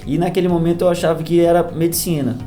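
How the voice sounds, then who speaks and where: A man's voice: fairly high pitch, fairly even intonation, quick pace, warm tone, faint music behind. A young man with a Brazilian accent giving casual, explanatory answers as a podcast guest. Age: young adult.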